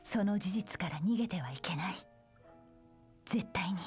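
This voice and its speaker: An actress playing a solemn young woman soldier in a Japanese video game drama, speaking gravely with hushed phrase endings. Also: medium pitch, fast, soft background music. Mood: sorrowful, determined.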